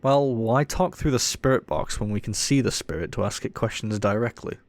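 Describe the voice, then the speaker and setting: Man playing a cocky, low-voiced ghost hunter. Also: normal speed, lightly acted. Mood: sly, cocky. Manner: teasing, offhand, dismissive. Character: cocky, irreverent male ghost hunter showing a rookie around. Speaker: paranormal investigator character. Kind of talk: audio roleplay acting.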